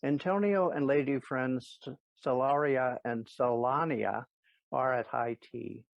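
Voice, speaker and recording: A man's voice: medium pitch, slow, vocal fry, no echo. A man narrating stage directions for an audio play in a matter-of-fact, scripted manner. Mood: neutral. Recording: dry, slightly dull recording.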